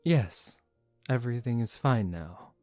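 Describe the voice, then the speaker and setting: Man acting as a slow, soft-spoken vampire aristocrat. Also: mid-range voice, dry recording, soft background music, natural voice. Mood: gentle, reassuring. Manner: calm, measured, formal, gently commanding. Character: composed, aristocratic young male vampire, protective and secretive. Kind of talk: soft-spoken ASMR roleplay.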